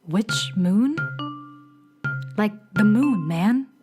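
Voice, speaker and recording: Woman as a slow-drawling beatnik cat girl. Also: mid-range voice, animated, over music. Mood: cool, unbothered. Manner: drawling, hipster slang. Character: laid-back beatnik cat girl from the moon, too cool. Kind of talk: children's radio theater acting.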